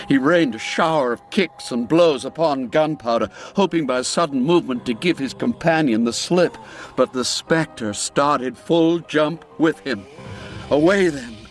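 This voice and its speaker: A man narrating a scripted story in a measured, theatrical, suspenseful manner. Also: mid-range voice. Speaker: audiobook narrator.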